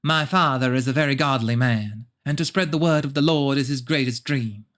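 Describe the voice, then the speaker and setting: A man's voice: mid-range voice, normal speed, fairly bright tone, close to an everyday voice. A man voicing a sweet, obedient young girl with an innocent, eager and polite manner. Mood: shy, earnest.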